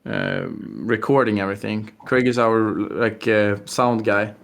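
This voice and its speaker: A young man with a Swedish accent talking haltingly as a podcast co-host, leaning on fillers. His voice sits low with a gravelly texture and stays flat in pitch. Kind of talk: casual chat.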